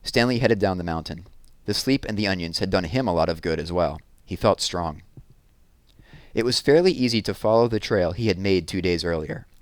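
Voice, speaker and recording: A man's voice: low voice, normal speed, no room sound. A man reading a novel aloud in a scripted storytelling narration. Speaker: read-aloud reader.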